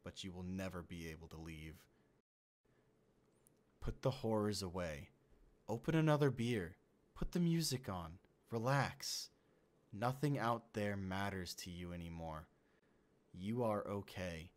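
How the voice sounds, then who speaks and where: Casting: male actor as calm otherworldly being posing as everyone's friend, very low voice, soft-spoken and reassuring, horror comic dub. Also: measured pace, long pauses. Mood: calm, ominous.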